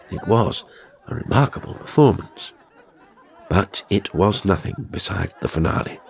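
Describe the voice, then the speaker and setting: A man reading a horror audiobook as narrator, with deliberate, suspenseful, dramatic storytelling. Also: sits low, a little mellow. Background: music and sound effects.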